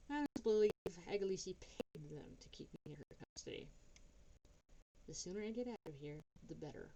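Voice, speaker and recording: A woman reading a first-person story aloud in an expressive, dramatic narrating style. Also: on the low side, a little hiss or hum, poor audio.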